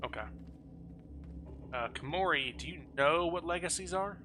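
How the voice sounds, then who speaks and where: A man's voice: on the high side, normal speed, bright, forceful tone, slight background noise. A man chatting casually with friends while gaming online, joking and swearing freely in a salty way. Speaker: gamer playing online with guildmates.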